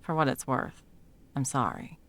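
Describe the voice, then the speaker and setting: A woman playing a sardonic, foul-mouthed sound mage in an intimate fantasy audio roleplay, talking sarcastically and emphatically with a creaky edge. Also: very deep voice, animated, unhurried, fairly bright tone, heavily acted. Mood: grim, regretful. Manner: sarcastic, emphatic, swearing freely. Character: sardonic, foul-mouthed female sound mage, guiltily helping an old friend.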